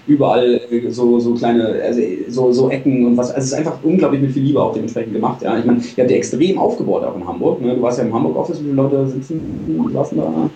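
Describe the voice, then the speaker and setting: A man's voice: mid-range voice, fast, hardly any pauses, mellow, far from the mic, lo-fi sound. A tired man hosting a casual chat show, rambling with many fillers and stumbles. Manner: rambling, filler-heavy, admits stuttering. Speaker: show host. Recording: roomy, from off mic.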